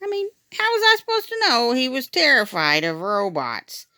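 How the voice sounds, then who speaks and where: A woman reading a children's book aloud in an expressive, dramatic storytime style. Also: high-pitched, moderate pace, no room sound, studio quality. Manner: animated, dramatic. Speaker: storytime reader.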